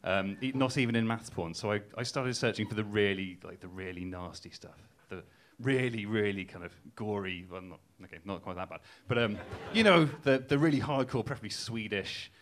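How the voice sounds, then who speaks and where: A man's voice: on the low side, a little fast, few pauses, fairly bright tone. A young man from Newcastle with a Geordie accent, performing a stand-up comedy set with a casual, self-mocking, hesitant delivery full of ums. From England. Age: young adult. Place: live stage before laughing audience.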